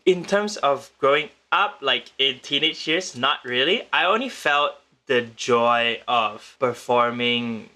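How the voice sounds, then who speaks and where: Bright-voiced young man from Malaysia. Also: mid-range voice, expressive. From Penang, Malaysia. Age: young adult. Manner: measured, thoughtful, with frequent restarts. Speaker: guest musician. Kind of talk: casual interview answers. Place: remote location in the Philippines.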